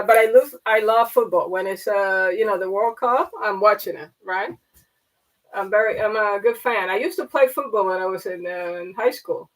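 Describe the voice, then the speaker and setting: A woman's voice: mid-range voice, low-quality recording. Chatty woman. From Panama. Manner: rambling, chatty, many fillers and restarts. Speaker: livestream host. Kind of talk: casual chat.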